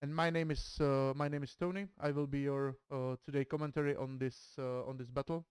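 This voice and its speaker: Czech man calling a competitive match, deliberate non-native English, clear voice, dry close sound. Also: medium pitch, flat delivery, darkish tone, no echo. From Czech Republic. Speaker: esports match commentator. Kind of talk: streamed game commentary, reading a dramatic intro and team roster.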